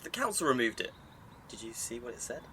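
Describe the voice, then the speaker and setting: An actor playing an amiable, naive, chatty neighbour with a community spirit in a naturalistic audio drama. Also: male voice, mid-range voice, sing-song, brisk, bright, forceful tone, a little hiss or hum. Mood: sceptical.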